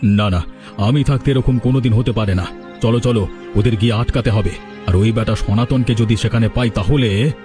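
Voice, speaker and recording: A man's voice: fairly low pitch, moderate pace, music in the background, lightly acted. A man playing a stern, indignant village headman in an emphatic cartoon style. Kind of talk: cartoon voice acting in a village drama.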